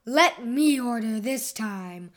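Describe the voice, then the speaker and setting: A woman voicing a bossy, wary male donut superhero in a storybook, loud and assertive. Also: fairly high pitch, animated, slow. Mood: protesting.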